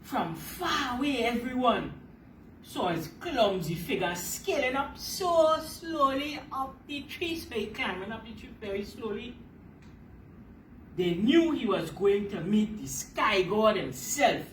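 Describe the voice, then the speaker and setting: A woman's voice: on the high side, lively intonation, takes it slowly. A woman reading a children's story aloud as storyteller, emphatic and repeating phrases to explain them to her listeners. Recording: roomy, distant mic, faint background noise.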